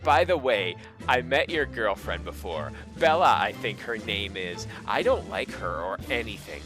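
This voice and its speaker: A man's voice: on the low side, animated, measured pace, fairly bright tone. A man voicing a self-pitying, indignant teenage girl in a comic dramatic reading, with music behind him. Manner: melodramatic, indignant.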